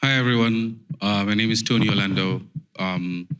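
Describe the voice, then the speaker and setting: Deep-voiced man with dry humor. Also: normal speed, a bit bright, smooth. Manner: dry, joking. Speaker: panelist. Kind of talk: conference panel introduction.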